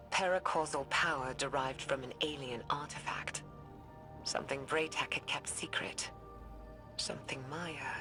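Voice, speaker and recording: An actress playing an earnest, weary scientist recording a game audio log in a thoughtful, measured way with hushed phrase endings. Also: female voice, low-pitched. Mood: absorbed, explanatory. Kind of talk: confessional audio log, dramatic game acting. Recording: music behind the voice.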